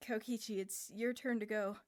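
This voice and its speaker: A woman's voice: on the high side, fairly even intonation, normal speed, close to an everyday voice. A woman voicing a shy, nervous teenage boy in a fan fiction reading, stammering and hesitant. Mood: timid.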